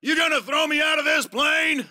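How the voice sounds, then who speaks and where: A man voicing a ruthless, vengeful soldier villain in a video game, with a gruff, gravelly delivery that is menacing and commanding. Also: very high-pitched, moderate pace, bright, forceful tone, shouting, exaggerated voice. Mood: defiant shouting.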